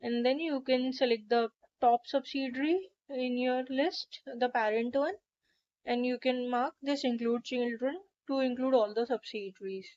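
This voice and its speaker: A woman narrating a step-by-step software tutorial in a methodical, instructional way. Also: high voice, fairly even intonation, normal speed, dull audio.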